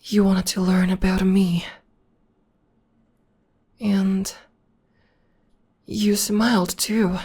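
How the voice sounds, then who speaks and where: A woman playing a gentle, loving witch girlfriend in an intimate ASMR roleplay, speaking softly and tenderly in a breathy half-whisper. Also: mid-range voice. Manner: tender, slow, soothing. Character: gentle, loving witch girlfriend comforting her demon partner.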